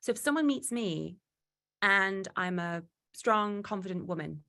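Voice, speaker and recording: Lively young woman from Newcastle, workshop host on a video call. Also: mid-range voice, measured pace. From Newcastle, England. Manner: lively, acts out quoted voices. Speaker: workshop facilitator. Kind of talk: online workshop talk.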